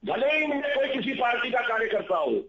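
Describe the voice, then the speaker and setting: Male spokesman, high voice, defensive debating, repeats points insistently, dull broadcast audio. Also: sing-song, fairly quick, sharp tone. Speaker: party spokesman on debate panel. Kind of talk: argumentative political debate.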